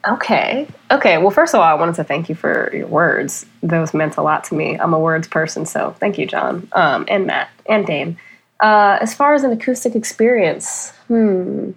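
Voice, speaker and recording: A woman's voice: on the low side, animated, normal speed, gravelly, husky. A woman speaking casually as a guest in a podcast conversation.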